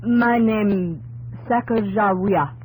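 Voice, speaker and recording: An actress playing a young, solemn Shoshone woman guide in broken, halting English in an old radio drama. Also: mid-range voice, darkish tone, breathy edge, rough recording. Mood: solemn, terse. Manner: slow halting broken phrases with missing words. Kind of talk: 1950s radio drama acting. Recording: old 1950s radio recording, background hiss.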